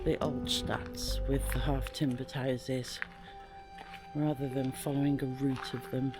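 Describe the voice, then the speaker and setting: A woman's voice: a bass voice, little pitch movement, a little slow, over music. A British woman giving casual travel vlog commentary in a wry, understated way. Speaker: travel vlogger.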